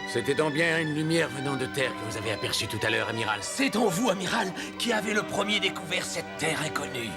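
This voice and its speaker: A man playing a loyal, deferential ship's officer in an animated historical cartoon, speaking respectfully and expressively. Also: fairly high pitch, a little fast, hardly any pauses, loud music behind the voice. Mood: awed.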